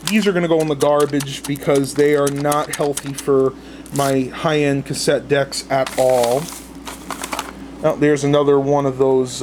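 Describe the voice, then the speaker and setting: A man's voice: a little high, slight background noise. A man chatting casually and unscripted to viewers, thinking aloud in colloquial language as he shows things at home. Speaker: vlogger showing a haul of vintage cassette tapes. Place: indoors at home.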